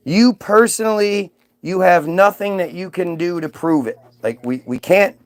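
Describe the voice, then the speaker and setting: A man's voice: high-pitched, unhurried, dry recording. A man hosting a livestream, arguing heatedly with viewers in a mocking, combative way, swearing and restarting his sentences.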